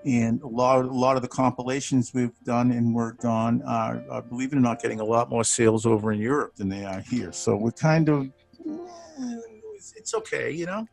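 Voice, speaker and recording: A man in his sixties, a studio manager and bass player, chatting casually on a video call, rambling with many restarts and chuckles. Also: expressive, normal speed, vocal fry, darkish tone, faint music behind. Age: 60s.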